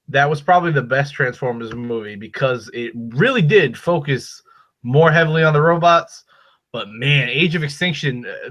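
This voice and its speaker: Man, Boston accent, podcast host, bright tense voice, emphatic, swears freely. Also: medium pitch, lo-fi sound. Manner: animated, profane, emphatic opinions. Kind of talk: casual chat about movies and toys.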